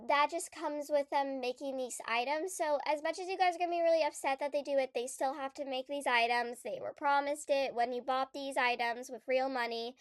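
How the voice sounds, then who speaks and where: A woman's voice: high voice, fairly quick, few pauses, no echo, clean studio sound. Stuffed-up young woman chatting. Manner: chatty and rambling, many fillers and restarts. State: congested from a cold. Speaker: gaming YouTuber. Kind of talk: casual vlog commentary.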